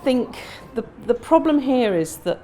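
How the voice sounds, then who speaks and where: A middle-aged woman answering interview questions in a measured, analytical way, in a small campaign office. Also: sits high, animated, warm tone, slight background noise. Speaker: local interviewee.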